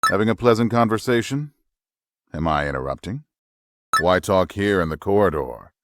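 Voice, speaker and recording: An actor playing a jealous, controlling husband who talks in a sarcastic, needling way. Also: male voice, deep voice, lively intonation, moderate pace, a bit bright, dry recording. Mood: snide.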